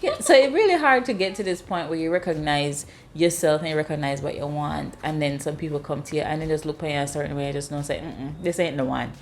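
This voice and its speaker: A Jamaican woman in her thirties chats casually and tells lively stories. She acts out other people's lines in sudden high voices. Her voice sits a little low for a woman. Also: quick pace, hardly any pauses, slight background noise. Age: 30s. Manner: animated storyteller, acts out dialogue in high voices. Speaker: host. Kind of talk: casual chat.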